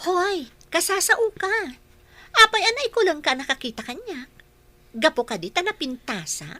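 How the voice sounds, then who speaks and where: Woman as a high, animated, haughty Ilocano young woman. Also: moderate pace, no room sound, heavily acted. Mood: confrontational. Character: vain, confrontational young woman who judges looks. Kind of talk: radio drama acting.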